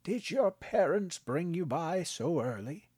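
A man narrating a story, voicing a calm, frail old grandfather who speaks slowly and gently. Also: fairly high pitch, husky, no echo, voice pushed up, heavily acted. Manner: slow, gentle, unbothered.

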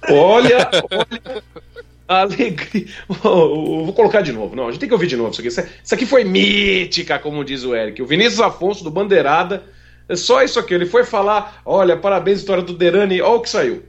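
Brazilian man, host, fairly high voice, chatty and animated, dull lo-fi sound. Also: a little fast. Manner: animated, chatty, laughs often. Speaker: motorsport show host. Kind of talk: casual podcast chat.

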